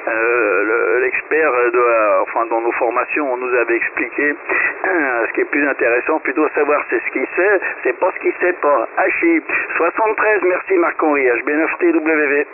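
A man's voice: mid-range voice, moderate pace. A Swiss French man, a radio amateur, replying on the air in a hesitant way with frequent fillers. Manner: hesitant, many "euh" fillers. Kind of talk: casual radio chat. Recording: tinny shortwave radio with hiss.

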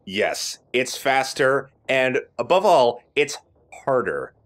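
A man's voice: mid-range voice, sing-song, slow pace, a bit bright. A man giving casual game commentary as a let's play commentator. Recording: game noise behind voice. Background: game ambience.

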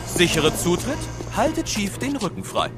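An actor playing a stiff, dutiful police officer in a children's audio drama, reporting in formal telegraphic phrases. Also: male voice, sits high, wildly swooping pitch, moderate pace, loud music behind the voice.

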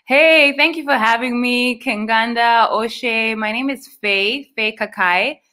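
A woman's voice: fairly high pitch, unhurried, pushed and bright. A young woman from Uganda, a guest on a video call interview, friendly and explaining at length. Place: small room indoors. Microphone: video call webcam.

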